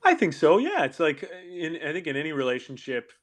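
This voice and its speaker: A man's voice: a little high, huge pitch swings, brisk. A man hosting an online audio chat, answering fans' questions in a thoughtful, rambling way with frequent restarts. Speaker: actor hosting a fan Q&A. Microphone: online audio room.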